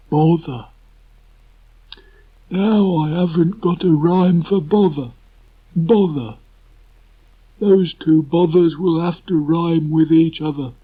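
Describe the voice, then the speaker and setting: A man voicing a gloomy, self-important old donkey in a children's storybook, declaiming in a ponderous, halting, doleful way. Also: fairly high pitch, voice pushed up. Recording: muffled old cassette tape transfer.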